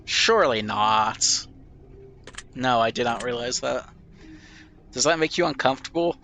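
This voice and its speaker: A man's voice: medium pitch, unhurried, pushed and bright, a little hiss or hum. Nasal young man musing aloud. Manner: thoughtful, self-deprecating, rambling answers. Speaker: gamer playing a question game. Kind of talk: casual reflective commentary.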